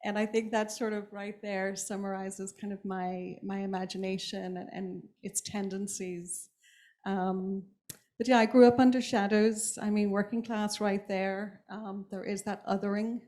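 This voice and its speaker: A woman from Ireland with an Irish accent, an author speaking thoughtfully on a panel, pausing often with ums and restarts. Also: medium pitch, flat delivery, dark tone. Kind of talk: reflective panel talk.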